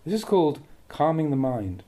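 Mellow-voiced man reading a meditation teaching. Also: a little high, sing-song. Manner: measured, deliberate phrasing. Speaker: Buddhist monk reading a translated dhamma talk. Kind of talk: read-aloud meditation teaching.

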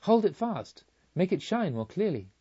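An excitable male pilgrim spirit from a storybook, acted out by a man, lifted in pitch and full of urgent wonder. He exclaims and reproaches himself in a slightly dull recording. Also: normal speed, slightly rough, full character voice. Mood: eager.

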